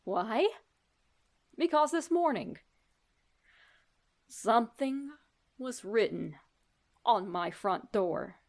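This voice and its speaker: A woman narrating a first-person horror story in an expressive, suspenseful way, with deliberate pauses. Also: a little high.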